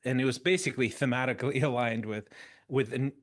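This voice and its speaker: Man, 50s, podcast guest, animated storytelling, restarts phrases, mid pitch. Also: fast talker. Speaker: interview guest. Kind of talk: conversational storytelling.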